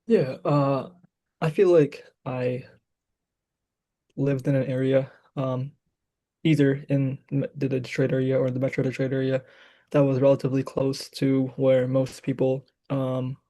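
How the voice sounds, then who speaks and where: Young man from Michigan, guest on a casual podcast, monotone, mellow gravelly voice, hesitant delivery. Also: mid-range voice, measured pace. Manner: hesitant, many fillers. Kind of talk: casual chat.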